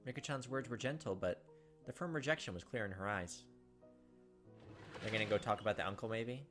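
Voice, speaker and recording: A man's voice: fairly low pitch, a little fast, music in the background. A man hosting a gaming video, reading visual novel narration and commenting casually.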